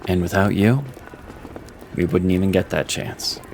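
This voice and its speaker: An actor playing a loyal, quick-witted inquisitor in a fantasy audio drama, composed and deferential, with clipped delivery. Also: male voice, low-pitched, steady tone, vocal fry, noisy background.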